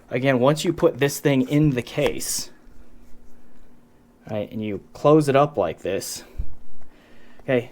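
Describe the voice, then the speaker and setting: A man presenting an unscripted product review in a casual, conversational way, thinking aloud and correcting himself as he goes. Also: medium pitch. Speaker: audio gear reviewer, channel host.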